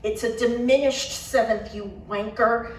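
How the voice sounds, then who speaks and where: A woman voicing a hot-tempered male rock guitarist with a sneering, shouty manner, in an echoey room. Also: a little high, very animated, normal speed, recorded from a distance, slight background noise, voice pushed up. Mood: sneering angry.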